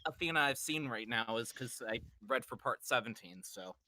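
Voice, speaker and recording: A man voice actor talking from his recording booth in a relaxed, measured way. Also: medium pitch, no echo. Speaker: voice actor guest. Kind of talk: casual chat.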